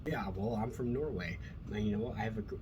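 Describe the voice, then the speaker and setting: A man's voice: on the low side, quick pace. A man from Colorado talking casually and profanely, rambling with many fillers while reacting to an old speech and his upbringing. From Colorado, USA. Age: adult with a school-age son. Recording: noticeable background noise.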